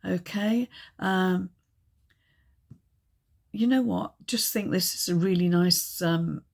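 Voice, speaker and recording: A woman reading tarot cards in a casual, chatty way, hesitant and full of ums, her voice still croaky from a chest infection. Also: on the low side, takes it slowly. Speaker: tarot reader.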